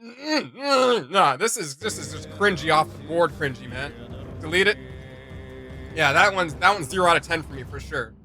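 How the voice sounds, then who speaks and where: A man's voice: a little high, lively intonation, moderate pace, sharp tone, faint music behind. A man hosting a reaction video, giving casual, opinionated commentary in an emphatic, slangy way.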